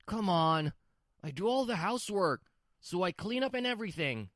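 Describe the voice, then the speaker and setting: A woman's voice: on the low side, expressive, moderate pace, dry recording, studio quality, natural voice. A woman voicing a stubborn, brusque husband who dotes on his little sister, in a scripted manga dub. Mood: defensive, irritated. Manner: brusque, defensive.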